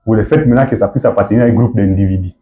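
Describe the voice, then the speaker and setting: A man from Goma with a Congolese accent, giving halting political answers in an interview, often repeating and rephrasing himself. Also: on the low side, warm tone. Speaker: activist guest being interviewed. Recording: dull, muffled lo-fi sound.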